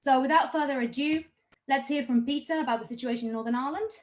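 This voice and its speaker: A woman originally from Israel and Palestine, hosting an online meeting from a home computer on a video call and introducing the speakers in brisk, slightly halting announcements. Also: high voice. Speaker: host. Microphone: home computer mic on a video call.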